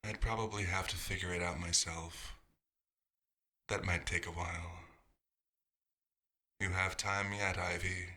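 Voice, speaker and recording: A quiet, nostalgic vampire doctor, played by a man in an ASMR roleplay. His voice sits very low and stays nearly flat, with a very sharp, bright edge. He murmurs to himself without hurry, fussy and gently wistful. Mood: calm, musing. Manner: unhurried, murmuring to himself with pauses.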